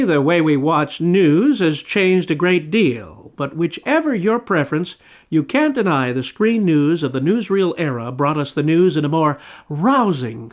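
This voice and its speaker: A man hosting a film review, reading a scripted piece with florid, old-fashioned announcer phrasing. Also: fairly high pitch. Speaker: film review show host. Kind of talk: scripted review, presented to viewers.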